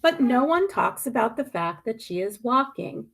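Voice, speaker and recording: Female storybook reader, very lively intonation, noticeable vocal fry, dramatic stresses and pauses. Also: fairly high pitch, slight background noise. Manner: deliberate, dramatic emphasis with pointed questions and pauses. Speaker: media specialist reading a picture book aloud. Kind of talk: expressive picture-book read-aloud.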